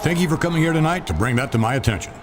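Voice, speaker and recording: A man's voice: on the high side, lively intonation, racing, background noise, close to an everyday voice. A man playing a formal, authoritative wrestling company boss in scripted game dialogue. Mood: courteous.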